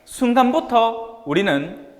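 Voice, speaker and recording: Animated Gyeongsang-accented priest in an echoey hall. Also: male voice, extremely high pitch, slow pace. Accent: Gyeongsang Korean. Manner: jocular, colloquial, rhetorical questions to listeners. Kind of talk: informal religious lecture to a small group. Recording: echoey hall with long reverb.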